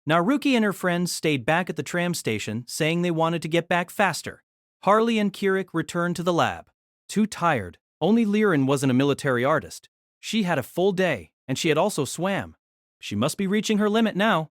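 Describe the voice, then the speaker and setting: A woman narrator reads a light novel in a scripted storytelling style. Her pitch moves in a lively, animated way, and the recording is close and dry. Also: normal speed, no room sound. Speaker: narrator and reader.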